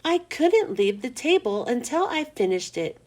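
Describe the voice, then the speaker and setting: A woman's voice: sits high, sing-song, a little slow, full character voice. A woman voicing a grumbly, literal-minded schoolgirl from a storybook, in a complaining manner. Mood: grumbling. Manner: complaining, griping.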